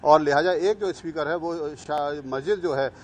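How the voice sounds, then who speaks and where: A man giving an unscripted live news report, halting and long-winded as he searches for words. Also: fairly high pitch, slight background noise.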